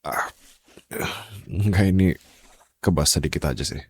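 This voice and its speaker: A man with an Indonesian accent playing a protective, loving mafia boss in an intimate ASMR roleplay, speaking softly and reassuringly in a husky voice. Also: dry recording. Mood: teasing, sore. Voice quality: deep, gravelly, husky. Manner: slow, soft, hushed, pausing, gently reassuring. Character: male mafia boss, tender and protective lover. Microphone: close studio condenser microphone.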